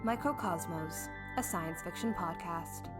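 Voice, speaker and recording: A woman's voice: lowish voice, a little slow. A woman reading scripted podcast credits as an announcer. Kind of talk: scripted narration. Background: loud music.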